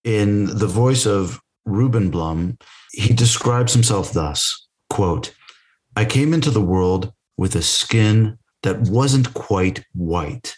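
Low-voiced male podcast host, measured and deliberate. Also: a little mellow. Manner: measured, reads quotes then asks. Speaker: podcast interviewer. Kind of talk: prepared interview questions.

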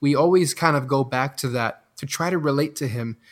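A man in his thirties, from Belgium and a native French speaker, talks in a relaxed, reflective way. His sentences wander and restart as he thinks aloud on a podcast. His voice sits at an ordinary middle pitch. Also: brisk. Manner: reflective, rambling, many restarts. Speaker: guest. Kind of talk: casual podcast conversation.

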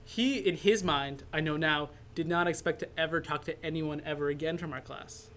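A podcast host in their twenties speaks in a casual, storytelling way. The delivery is animated and teasing, at a middle pitch. There is faint noise in the recording. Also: normal speed. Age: 20s.

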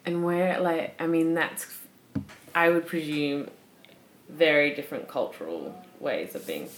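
A woman's voice: sits low, a little slow. A woman interviewing a guest, asking loose, chatty questions. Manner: chatty, loose phrasing with likes. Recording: echoey room with faint hiss.

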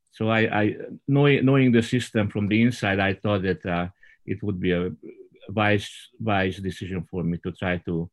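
A man from Hungary with a Hungarian accent, a Baptist pastor telling his story in a panel discussion, halting and repeating words. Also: low voice, gravelly. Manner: halting, repeats words while searching for English.

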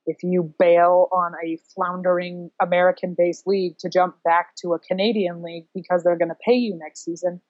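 A woman's voice: lowish voice, darkish tone, dry recording, muffled recording. A woman co-hosting a podcast, musing casually and a little wryly about sports. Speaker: podcast co-host.

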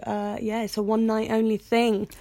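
A woman's voice: on the high side, flat delivery, moderate pace, warm tone. A British woman co-hosting a podcast in a casual, chatty style, full of playful, jokey banter. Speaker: podcast co-host.

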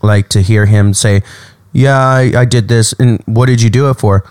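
A man with a fairly low voice, recorded dry and close. He chats casually as a podcast co-host, repeating phrases haltingly as he works out a point and peppering it with swearing. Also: steady tone, darkish tone, smooth, no echo. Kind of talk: casual chat about true crime.

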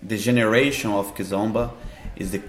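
A man with a Brazilian Portuguese accent, answering interview questions in a halting way as he searches for words. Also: lowish voice, steady tone, roomy sound. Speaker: dance teacher and festival organizer.

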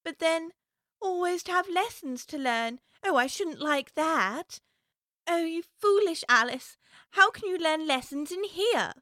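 Curious chatty little girl musing to herself, a woman voicing her, high and bright, dry sound. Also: expressive, a little slow, no echo, exaggerated voice. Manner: musing aloud to herself, prim and wondering.

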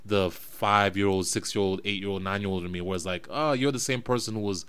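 Bright-toned man, reflective talk. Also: lowish voice, fairly even intonation, fairly quick, smooth. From West African family, raised in New Jersey. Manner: reflective, thinks aloud with restarts. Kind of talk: casual conversation.

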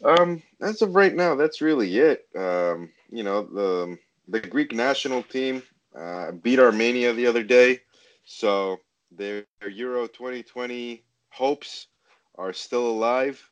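A man co-hosting a casual sports discussion, offering measured opinions in a loose, hesitant way. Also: mid-range voice, lively intonation, lo-fi sound. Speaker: sports show co-host.

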